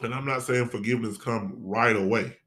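A man's voice: medium pitch, fairly even intonation, brisk, fairly bright tone. A man in a motivational panel conversation, speaking emphatically and a little preachily, repeating key phrases to drive them home.

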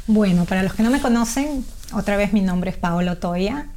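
A woman's voice: medium pitch, animated, a little fast, darkish tone. A middle-aged Peruvian woman with a Peruvian Spanish accent, a guest chatting casually in an interview, with frequent fillers and restarts. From Peru.

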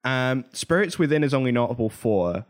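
A man co-hosting a podcast in casual chat about films, explaining things in a halting, rambling way full of tangents. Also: medium pitch, animated. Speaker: podcast co-host.

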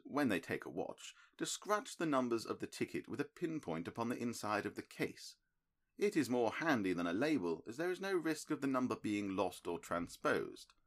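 A man reading an audiobook, voicing a calm, analytical middle-aged male detective who explains his reasoning in a crisp, precise, lecturing manner. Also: lowish voice, pitched above the performer's own voice, exaggerated voice.

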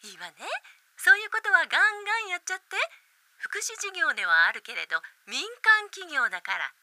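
A woman's voice: very high-pitched, sing-song, a little fast, pushed and bright, no room sound, heavily acted. A woman playing a shrewd, pushy company president in an audio drama, giving polite but insistent instructions. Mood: brisk, pushy. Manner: polite, instructive, theatrically cutesy when demonstrating.

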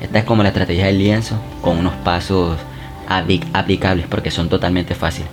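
A man's voice: sits low, flat delivery, brisk. A man hosting a self-help podcast, coaching the listener directly in a casual, encouraging way. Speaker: podcast host. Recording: music bed behind the voice.

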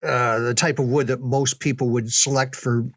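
An older man with a mid-pitched voice tells a story in a casual, folksy manner. He takes his time and pauses to stress details. The recording is dry, with very little room sound. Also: fairly even intonation, fairly bright tone, slightly rough, dry recording. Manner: unhurried, folksy, halting with pauses for emphasis, chuckling. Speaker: podcast storyteller, reminiscing father.

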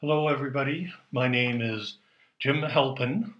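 A man's voice: medium pitch, measured pace, dull audio. A man with an American accent giving an informal personal message. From United States. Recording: echoey room.

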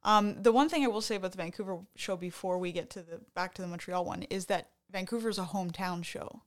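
A woman's voice: lowish voice, fast talker. A woman from Canada co-hosting a podcast, chatting casually and enthusiastically, often trailing off mid-sentence. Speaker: podcast co-host. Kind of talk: casual chat.

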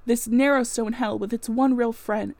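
A woman reading a classic novel aloud, voicing an impassioned, brooding married gentleman in long theatrical speeches. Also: on the high side, fairly even intonation, normal speed, no echo, close to an everyday voice. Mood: anguished. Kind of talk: dramatic audiobook-style reading of a classic novel.

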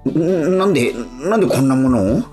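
A man's voice: on the high side, animated, fairly quick, lightly acted. Man acting a hesitant, bewildered musician, over music. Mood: flustered. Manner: hesitant, stammering. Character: awkward, bewildered young male street musician narrating his story. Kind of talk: radio drama acting and narration.

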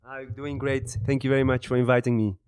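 A man in his thirties from Iraq and the Netherlands, answering interview questions in a halting, word-searching way. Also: medium pitch, little pitch movement, darkish tone, clean tone, dry recording. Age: 30s. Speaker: interview guest, author and business speaker.

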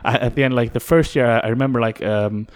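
A young man co-hosting a casual comedy podcast, chatty and quick to laugh. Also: mid-range voice, fairly even intonation. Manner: chatty, laughs often, lists dates. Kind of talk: casual chat.

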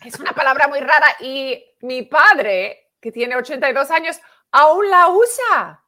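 A woman's voice: high voice, expressive, unhurried, bright, forceful tone. A woman, co-hosting a casual comedy podcast and telling stories in a chatty way. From Spain. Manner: chatty storyteller, acts out quoted voices. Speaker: comedian and co-host.

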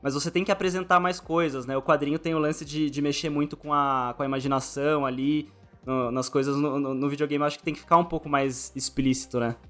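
A man's voice: fairly high pitch, fairly even intonation, fast talker, fairly bright tone. A Brazilian man hosting a casual podcast interview, talking informally and rephrasing his questions as he goes. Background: background music.

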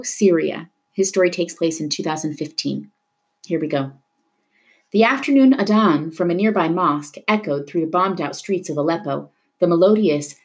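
A woman reading a novel aloud in an expressive storytelling style, dramatic at tense moments. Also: on the low side. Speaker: reader of a children's novel. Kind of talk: expressive read-aloud narration of a book.

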